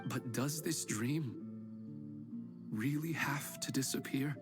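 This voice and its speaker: An actor playing a humble, sincere middle-aged father who talks in a gentle, plain-spoken way, over game music. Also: mid-range voice, slow pace, close to an everyday voice. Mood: earnest. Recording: game audio with music behind.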